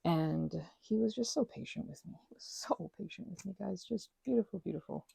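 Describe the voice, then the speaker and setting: A woman in her thirties chatting in a soft, rambling way, full of fillers and self-corrections, as an ASMR host. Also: medium pitch, a little fast, gravelly, breathy edge, no room sound. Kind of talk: rambling personal chat. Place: quiet room at a craft table.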